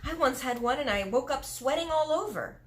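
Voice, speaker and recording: A woman's voice: sits high, lively intonation, a little fast, voice pushed up. A woman voicing a curious, bold young girl. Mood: curious, alarmed.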